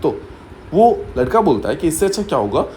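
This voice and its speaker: Guy teaching exam prep through a story, mellow and a bit high, keeps repeating stuff, faint hiss behind. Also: very animated, a little fast. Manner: explanatory, repeats key points with fillers and English asides. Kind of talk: story-based mnemonic lesson.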